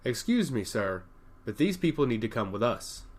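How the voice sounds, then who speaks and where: A man voicing a brisk, self-assured young woman priest in a fantasy audiobook, formal and matter-of-fact. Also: mid-range voice, big pitch swings, darkish tone. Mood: polite, insistent.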